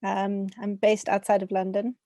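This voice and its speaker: A woman's voice: mid-range voice, completely flat delivery, normal speed, mellow, no echo, studio quality. A young adult woman with a South African accent, talking casually as an author being interviewed.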